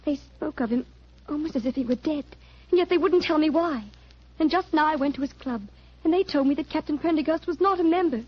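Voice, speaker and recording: Muffled 1940s radio, woman acting young sweetheart, high voice, rapid, earnest, pleading. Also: lightly acted. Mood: distressed, pleading. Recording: dull 1940s radio recording.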